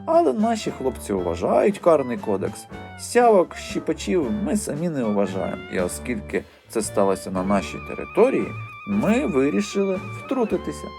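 A man's voice: fairly high pitch, wildly swooping pitch, normal speed, vocal fry, few pauses, over music, voice pushed up, exaggerated voice. A man voicing a sly, mocking teenage street-gang boy in an audiobook, sneering with fake politeness and swaggering slang.

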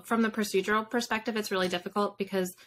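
A woman's voice: medium pitch, fairly even intonation, fast talker, a little hiss or hum. A woman in her thirties speaking informally as an interview guest, thinking aloud as she answers on a video call. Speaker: guest, fiction author. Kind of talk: casual interview chat. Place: home room with bookshelves, on a video call.

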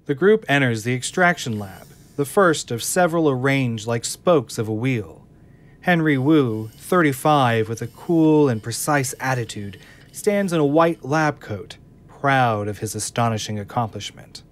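A man narrating the scene descriptions of an audio drama in a plain, even reading. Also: medium pitch, unhurried. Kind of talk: scripted narration of stage directions.

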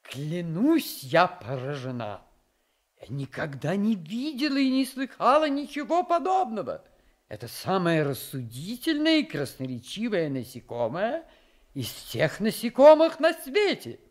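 A woman's voice: medium pitch, sing-song, measured pace. An imperious but gracious giant queen in a radio play. Her delivery is regal and theatrical, with big swings of intonation. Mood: amazed, delighted. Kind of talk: children's radio play acting.

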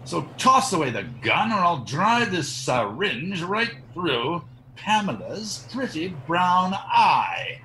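A man's voice: high-pitched, big pitch swings, slow, bright, forceful tone, a little hiss or hum, heavily acted. A man in his sixties playing a sneering, cowardly kidnapper in a radio mystery play. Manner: stammering when scared, drawling taunts. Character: cowardly sneering kidnapper, oily bully turned sniveling.

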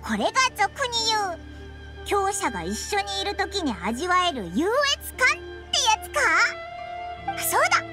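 A woman voicing a tiny, excitable childlike fairy companion in an anime game, squeaky, with blunt casual talk. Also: unhurried, loud music behind the voice, heavily acted. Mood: eager, chatty. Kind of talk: anime game dialogue acting.

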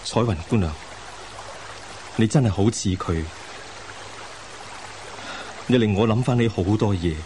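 A lovesick, guilt-laden scholar-official played by a man in a radio play. His voice is on the low side, formal and full of sighs. Running water noise is clearly heard behind him. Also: unhurried, long pauses, mellow, natural voice. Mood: mournful, yearning. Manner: sighing, earnest, formal. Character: remorseful lovesick scholar-official of old China. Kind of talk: Cantonese radio drama acting.

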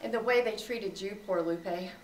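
An actress playing a scheming mother calmly revealing her plan in a campy comedy. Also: medium pitch, normal speed, roomy sound, soft background music, natural voice, poor audio. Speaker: actor.

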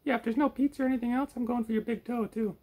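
A very high, squeaky puppet creature for a comedy puppet show. The voice is excitable and strained, with a teasing, gluttonous, cheeky streak. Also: male voice, racing.

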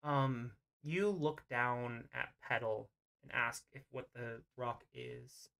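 A game master narrating an improvised tabletop roleplay over an online voice call, often pausing mid-sentence. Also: mid-range voice, fairly even intonation, vocal fry, fairly bright tone.

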